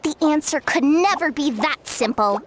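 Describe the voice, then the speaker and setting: A woman's voice: high voice, expressive, normal speed, background noise. A woman voicing a haughty talking mushroom who sets riddles, speaking in a lofty, condescending way. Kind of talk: video game voice acting.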